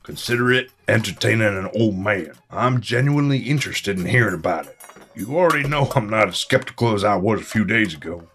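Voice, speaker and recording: A man's voice: fairly low pitch, lively intonation, brisk, fairly bright tone, a little hiss or hum. An actor playing a proud, plainspoken old small-town man, earnest and rattled, in an audio drama. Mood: wry, curious. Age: older.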